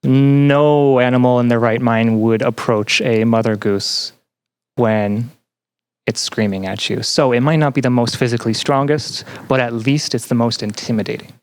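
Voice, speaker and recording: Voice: medium pitch, steady tone, normal speed, clean tone. Young adult guest explaining things earnestly. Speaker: podcast guest, student representative. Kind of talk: casual chat.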